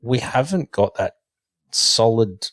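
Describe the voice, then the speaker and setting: A man's voice: medium pitch, expressive, takes it slowly, a bit bright, no echo, clean studio sound. A middle-aged man with an Australian accent, talking earnestly in a casual podcast discussion and often stumbling over the first words of a sentence. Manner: earnest, repeats words when starting sentences. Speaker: podcast guest.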